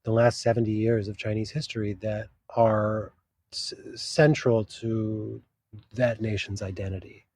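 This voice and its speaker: A man's voice: fairly low pitch, level tone, a little mellow, a little hiss or hum. A man, a translator, answering interview questions thoughtfully, often restarting his sentences as he searches for words. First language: English. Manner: thoughtful, halting, many restarts. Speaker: literary translator, guest.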